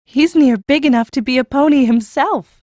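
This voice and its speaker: Actress for young orphaned farm girl, audiobook drama. High voice, plain-spoken, earnest, hungry for work. Dry studio sound. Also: moderate pace, a little mellow, dry recording, close to an everyday voice. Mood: dry, matter-of-fact. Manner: rustic, frank, earnest. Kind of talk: full-cast audiobook acting.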